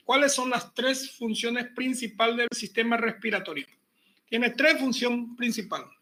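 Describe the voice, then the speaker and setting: A man teaching an online university class, relaxed and chatty, repeating his points and joking with the students. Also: very high voice, poor audio. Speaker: university pathology lecturer. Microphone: computer mic on a video call.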